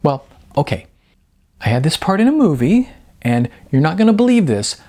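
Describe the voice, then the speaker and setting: Guy doing a gushy young model girl for an audiobook, high voice, bubbly and clueless, lots of breezy chatter. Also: big pitch swings, moderate pace, dark tone. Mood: gushing, starstruck. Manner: gushing, breezy chatter peppered with filler words. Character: bubbly, peppy young female model, starstruck and oblivious.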